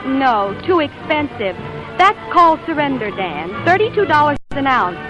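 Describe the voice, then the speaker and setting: An actress playing an anxious, jealous musical-comedy star in a 1940s radio drama. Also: female voice, sits high, very animated, normal speed. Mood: suspicious, jealous. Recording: muffled 1944 radio broadcast with music.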